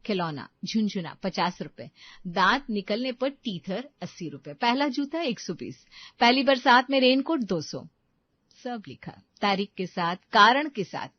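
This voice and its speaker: A woman narrating a moral story dramatically, in deliberate short phrases. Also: medium pitch, big pitch swings, no echo, highs cut off.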